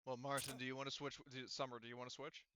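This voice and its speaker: A man's voice: medium pitch, flat delivery, very fast, bright, forceful tone, smooth, no room sound, dull audio. A man casually running a tabletop game as game master, thinking aloud and often muttering through the steps to himself.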